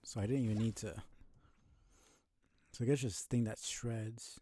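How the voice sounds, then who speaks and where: A young man streaming a video game, muttering low-key commentary to himself and swearing when things go wrong. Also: fairly even intonation, measured pace, mellow, husky, dry recording, clean studio sound. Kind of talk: casual gameplay commentary.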